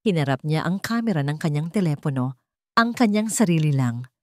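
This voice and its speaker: Female Filipino narrator, lower register, deliberate dramatic storytelling, dry sound. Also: animated, no echo.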